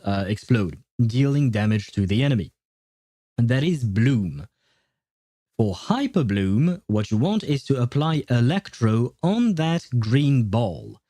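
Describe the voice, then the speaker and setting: Mellow-voiced male game streamer. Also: mid-range voice, no echo, clean studio sound. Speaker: livestreamer. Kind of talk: casual gaming livestream chat, answering viewer questions.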